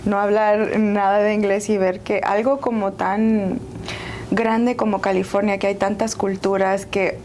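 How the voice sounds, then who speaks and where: A woman's voice: medium pitch, level tone, measured pace, a little mellow, slight background noise. Earnest Salvadoran woman telling her story. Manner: earnest, reflective, occasional laugh. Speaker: guest, writer and life coach. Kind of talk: personal storytelling in interview.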